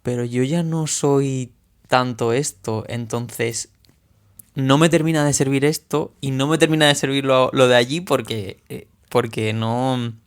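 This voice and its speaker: A man from Murcia with a softened Murcian Spanish accent, hosting a podcast and answering his guest with warmth and stories of his own. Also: mid-range voice, steady tone, measured pace, clear, resonant tone. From Murcia, Spain. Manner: supportive, empathetic, relates own experience. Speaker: podcast host. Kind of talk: casual conversation.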